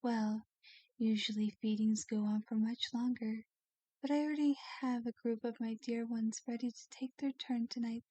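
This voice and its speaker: A woman playing a kindly, doting vampire lady in an ASMR roleplay, speaking gently with a genteel, coaxing manner. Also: on the high side, steady tone, normal speed, dry recording, close to an everyday voice. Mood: doting, pleased. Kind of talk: ASMR roleplay, soft scripted acting.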